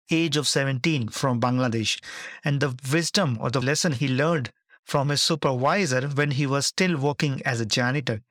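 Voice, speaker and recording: Earnest man hosting over soft music. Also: medium pitch, dry recording. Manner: earnest, emphatic, repeats key words. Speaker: podcast host. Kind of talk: scripted intro then interview questions.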